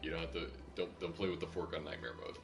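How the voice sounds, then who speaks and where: A man's voice: extremely low pitch, fairly even intonation, fast. A man doing casual live commentary as he streams a video game. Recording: game music behind the voice. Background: video game music.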